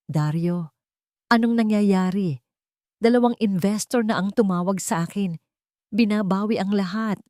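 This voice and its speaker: A woman voicing an angry, arrogant businessman in an audiobook drama, in forceful, demanding outbursts. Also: mid-range voice, a little slow, pauses often, no room sound, clean studio sound, natural voice.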